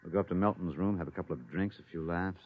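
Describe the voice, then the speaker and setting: A man's voice: deep voice, fast talker, natural voice. An American man playing a protective, determined fugitive husband in an old-time radio drama, speaking in earnest, clipped phrases. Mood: soothing confidence. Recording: muffled, noisy old radio recording.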